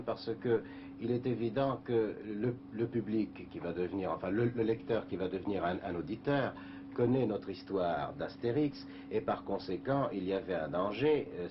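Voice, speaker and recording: A comic-book scriptwriter talks in an interview, weighing each phrase with pauses. His voice is very deep. The old broadcast tape is muffled and hissy. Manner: measured, hesitant, with many pauses.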